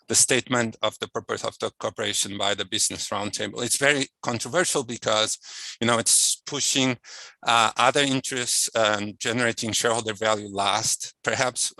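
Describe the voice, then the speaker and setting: A man's voice: on the low side, creaky, bright, forceful tone, no echo, rough recording. A man moderating an academic finance panel on a video call, speaking with many fillers and restarts. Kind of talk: academic webinar introduction. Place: indoor office or home room. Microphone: headset on a video call.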